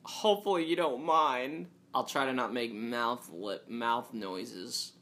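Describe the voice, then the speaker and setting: A man talking alone into a podcast mic, his tone bright and forward despite a low, fairly monotone delivery. He rambles through restarts and filler words, dropping joking asides to the listeners. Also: takes it slowly. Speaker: podcast host. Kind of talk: casual solo podcast monologue.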